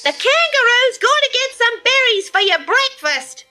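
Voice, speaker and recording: A gleeful storybook kookaburra, shrieking very high and loud. The bird cackles and exclaims through its words in wild swoops, hugely amused by everything. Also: female voice, very animated, unhurried, soft background music, full character voice. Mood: chatty, chuckling.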